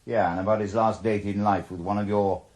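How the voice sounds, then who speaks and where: An actor playing a stubborn, dry-witted retired detective in a 1980s radio drama, with a Welsh accent. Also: male voice, fairly low pitch, fairly even intonation, brisk, a little mellow, close to an everyday voice. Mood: dogged. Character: dogged retired detective, middle-aged man, stubborn. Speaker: retired detective turned private investigator.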